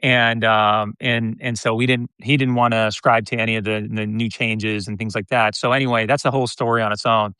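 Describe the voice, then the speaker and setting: An American man in his fifties talking casually as an interview guest, often halting and restarting his phrases. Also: fairly low pitch, level tone, bright, forceful tone, clean tone, no room sound. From United States (Detroit). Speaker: podcast guest, content creator.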